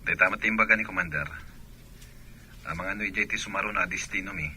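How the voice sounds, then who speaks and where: A man's voice: sits low, fast, sharp tone, a little hiss or hum. A man playing an evasive soldier husband in a comic radio drama, reassuring and dodging questions.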